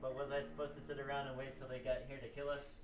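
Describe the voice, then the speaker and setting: A man's voice: mid-range voice, monotone, fast, natural voice. A man playing a hot-headed, foul-mouthed, defiant scientist in an amateur radio play, blustering and exasperated. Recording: roomy, distant mic with music behind.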